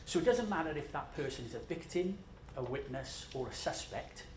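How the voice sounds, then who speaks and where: A man's voice: on the high side, animated, normal speed. Scottish man presenting in an echoey room. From Scotland. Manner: conversational, self-deprecating, many fillers. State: jet-lagged. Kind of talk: conference presentation. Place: large conference room. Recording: echoey conference room with faint noise.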